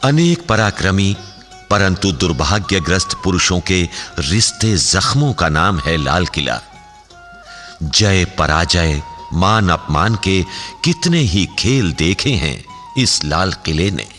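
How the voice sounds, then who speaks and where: A man's voice: low voice, big pitch swings, slow, fairly bright tone, long reverb tail, soft background music, heavily acted. A man playing a grave, poetic narrator in a historical radio drama, with a solemn, theatrical delivery. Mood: grave, stirring.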